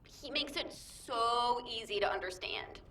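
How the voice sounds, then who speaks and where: A cheeky young game soldier who teases her squad. Her voice is bright and swoops playfully, heard in an echoey space. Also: measured pace. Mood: eager. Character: playful, teasing young female squad member. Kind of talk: scripted video game banter. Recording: echoey, distant, noisy with rumble.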